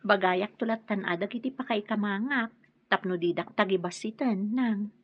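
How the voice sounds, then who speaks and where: An actress with an Ilocano accent playing an earnest young daughter in love in a radio drama. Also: female voice, medium pitch, normal speed, breathy edge, dry recording, highs cut off, natural voice. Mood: earnest, pleading.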